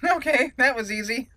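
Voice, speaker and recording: A woman's voice: high voice, sharp tone, no room sound. Chatty woman doing gameplay commentary. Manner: chatty, informal, thinking aloud. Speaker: gamer hosting a roleplay playthrough.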